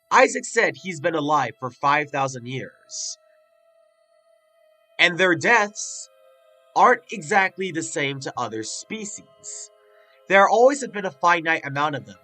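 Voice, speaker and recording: A man with a stuffy, congested nose talks through a casual review, chatty and loose with his filler words. His voice sits a little high and comes out bright and forward. Soft music plays under him. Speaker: video reviewer.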